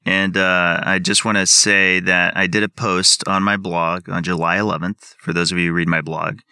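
A man's voice: low-pitched, flat delivery, normal speed, pushed and bright, clean tone, no echo, studio quality. A man as a podcast guest, methodically laying out the plan of his experiment. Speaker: guest researcher. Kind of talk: explaining an experiment.

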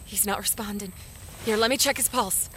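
An actress playing a wry, caring small-town library worker in an audio drama, teasing yet reassuring. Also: female voice, mid-range voice, normal speed, sharp tone, a little hiss or hum, lightly acted. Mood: somber.

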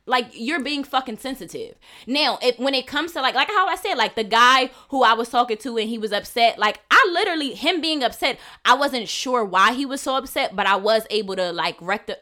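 A woman with a bright, forceful voice speaks loudly and bluntly as a podcast guest. She describes herself as aggressive and combative, and her talk stays direct and unfiltered. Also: a little high, animated, moderate pace, hardly any pauses. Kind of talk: casual podcast chat. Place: lives in Los Angeles.

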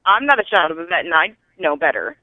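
A woman calling in to a podcast over a thin phone line, giving casual movie feedback in a halting, dryly puzzled way. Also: lowish voice, sing-song, no echo. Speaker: caller to a podcast. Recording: thin, band-limited telephone line.

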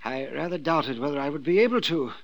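An actor playing a perturbed, guilt-ridden old gentleman with formal, hesitant phrasing in a 1950s radio drama. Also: on the high side, expressive, fast, gravelly, dry recording. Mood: nervous, confessing. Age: older. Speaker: supporting actor in a radio detective serial.

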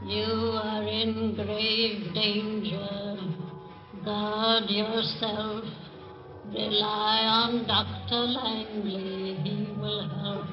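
Dead mom ghost in old radio play, crazy slow monotone, solemn intoning, music under. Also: female voice, mid-range voice. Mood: solemn, warning. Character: solemn spirit of a dead mother. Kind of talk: radio drama acting. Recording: muffled old radio recording, music behind.

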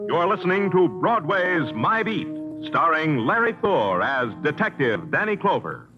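A man's voice: on the high side, very animated, unhurried. A man announcing a radio drama in 1950s broadcast style. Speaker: show announcer. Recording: muffled vintage radio recording, loud music.

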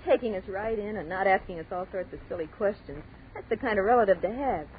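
An actress playing a brash, impatient, wisecracking college student in a 1940s radio drama, sarcastic and blunt. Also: female voice, medium pitch, quick pace, narrow, tinny audio, close to an everyday voice. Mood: wry, relaxed. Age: young adult. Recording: scratchy, thin 1940s radio recording.

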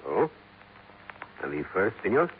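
An actor playing a courteous, methodical Venezuelan police captain, formal and deliberate, in 1950s radio drama style. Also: male voice, low-pitched, sing-song. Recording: narrow-band 1950s radio recording, faint noise.

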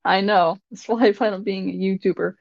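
A woman hosting a casual podcast in a fairly deep voice, rambling and stumbling over her words a little. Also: steady tone, fairly quick, gravelly, no room sound. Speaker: podcast host. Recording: dull lo-fi dry audio.

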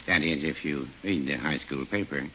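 An American actor playing a mild, folksy older small-town druggist with dry, deferential delivery in a 1940s radio comedy. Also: male voice, very deep voice, normal speed. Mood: chipper. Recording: muffled, noisy 1940s radio recording.

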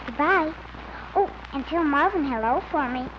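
Earnest little schoolgirl, tiny high voice, 1950s radio comedy, noisy recording. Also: expressive, normal speed, lo-fi sound. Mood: shy, earnest. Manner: earnest, polite, childlike.

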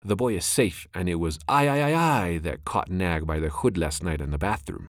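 Storybook hero: man doing a brash, excited young mongoose, loud and taunting. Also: deep voice, moderate pace, dry recording, clean studio sound. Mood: gleeful taunting. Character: brave young male mongoose, fierce and cocky.

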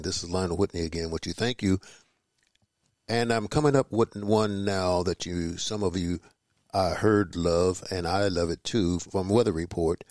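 A man hosting a jazz music podcast, announcing tracks like a radio DJ in an unhurried, informal way. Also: low-pitched, darkish tone, no room sound, studio quality. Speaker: jazz music show host.

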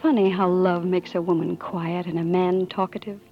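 A woman's voice: on the low side, little pitch movement, normal speed, close to an everyday voice. An actress playing a plucky young working-class American woman in love, wry and dreamy, in 1940s radio drama style. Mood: tender, dreamy. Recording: muffled vintage radio recording with music.

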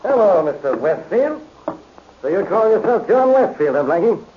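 High-pitched blustering police inspector. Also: male voice, sing-song, normal speed. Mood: accusing. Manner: blustery, accusing. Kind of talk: 1940s radio acting. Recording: noisy, muffled old radio recording.

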